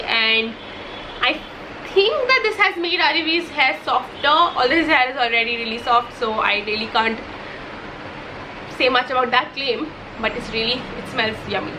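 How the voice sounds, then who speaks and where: Young mom vlogger, Hindi first language, bright high voice, upbeat and rambling, noisy home recording. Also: female voice. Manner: chatty, enthusiastic, many fillers and restarts. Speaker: vlogger, mother. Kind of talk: casual product favourites vlog.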